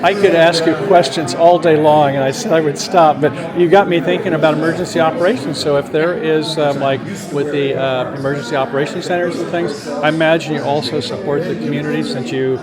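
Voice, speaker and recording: A man conducts a casual interview in a busy public space full of loud chatter. His voice sits a bit high for a man. He rambles into his questions and chimes in agreeably. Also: steady tone, fairly quick, hardly any pauses. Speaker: interviewer for community media. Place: busy public space at an open house event.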